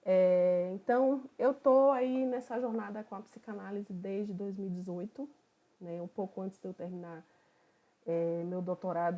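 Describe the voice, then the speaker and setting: A woman from Bahia, a psychoanalyst who opens an online study group in a relaxed, conversational way. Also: medium pitch. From Bahia, Brazil. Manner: relaxed, conversational, thinking aloud.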